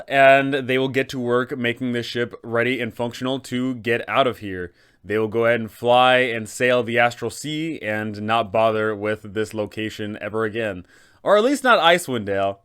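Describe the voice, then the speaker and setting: A man's voice: mid-range voice, fairly bright tone, clear, resonant tone. A man hosting game-master advice, explaining in an enthusiastic, emphatic, punchy scripted style. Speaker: host of tabletop game advice videos.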